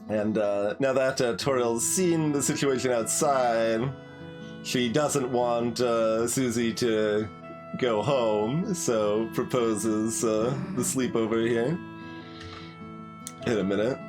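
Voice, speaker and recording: A man hosts a gaming video with casual commentary. He talks very slowly and haltingly, thinking aloud as he goes, with game music clearly playing behind him. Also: medium pitch.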